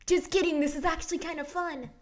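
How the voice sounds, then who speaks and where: A woman putting on a sugary high anime girl voice. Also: steady tone, fast, darkish tone. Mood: exasperated. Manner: hesitant, giggly, trailing off into fillers. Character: cutesy high-pitched anime-style girl voice. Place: quiet room at night.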